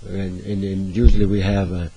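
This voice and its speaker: A man's voice: low voice, level tone, normal speed, dark tone, a little hiss or hum, poor audio. A man hosting an interview, asking questions hesitantly with many fillers. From United States. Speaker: host.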